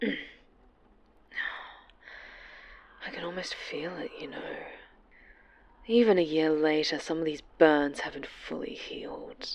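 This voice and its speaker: A woman voicing an obsessive, vengeful superhero villain in a horror ASMR roleplay, sweetly menacing and breathy, often slipping into whispers. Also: low-pitched, moderate pace, slight background noise. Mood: taunting, intimate.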